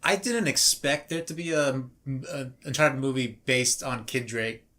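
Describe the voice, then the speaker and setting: A man with a clearly bright, crisp-edged tone and a mid-range pitch. He talks informally as one of several panelists on a podcast. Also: animated, measured pace. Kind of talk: casual group podcast chat.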